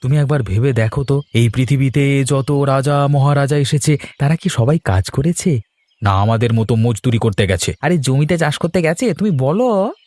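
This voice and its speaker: A man's voice: mid-range voice, expressive, moderate pace, a little mellow, dry recording, natural voice. A man playing a lazy, boastful grown son in a cartoon, arguing smugly. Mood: smug, argumentative. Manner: smug, argumentative. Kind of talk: cartoon dialogue acting.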